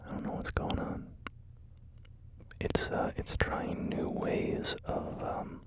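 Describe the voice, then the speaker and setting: A man whispering through a relaxed ASMR gaming video, rambling and joking about himself with many fillers. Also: slow. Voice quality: soft unvoiced whisper. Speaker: ASMR creator.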